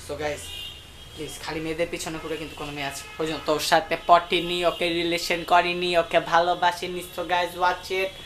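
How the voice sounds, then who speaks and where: A man's voice: a little high, completely flat delivery, fast, a bit bright, faint music behind. A young man with a Bangladeshi accent chatting casually in slang with bits of English, as a prank caller. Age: young adult. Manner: slangy, mixes in English words. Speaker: prank caller and channel host. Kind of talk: casual prank phone call chat. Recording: noisy amateur phone-call recording.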